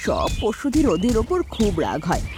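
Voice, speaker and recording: A woman narrating a children's cartoon story in an expressive storytelling way. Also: mid-range voice, moderate pace. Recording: children's music behind.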